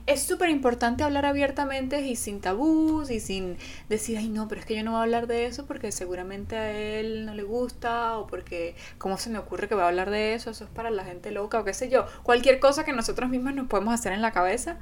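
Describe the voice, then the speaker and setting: A woman's voice: on the high side, fairly quick, hardly any pauses, a little hiss or hum. A woman co-hosting a casual couple podcast, talking earnestly and acting out the things people say. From Venezuela. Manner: earnest, animated, acts out quoted speech. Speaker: podcast co-host.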